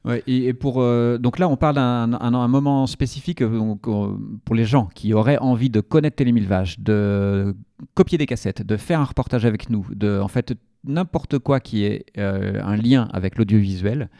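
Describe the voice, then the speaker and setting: A man's voice: mid-range voice, moderate pace, dark tone, no room sound, clean studio sound. A man from a community TV association explaining its work in a radio interview, hesitant and full of restarts.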